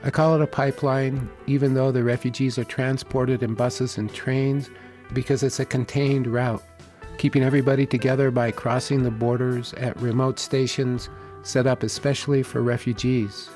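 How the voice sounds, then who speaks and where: A man, narrating a documentary report in a calm, measured, plain-spoken way. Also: mid-range voice, faint music behind. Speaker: host and reporter. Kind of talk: scripted narration and field interview.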